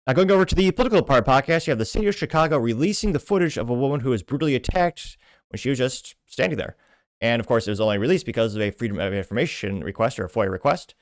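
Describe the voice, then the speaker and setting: A man's voice: mid-range voice, animated, rapid-fire, no room sound. An American man hosting a podcast, reading posts aloud and giving informal, rambling political commentary. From Midwestern United States. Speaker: podcast host.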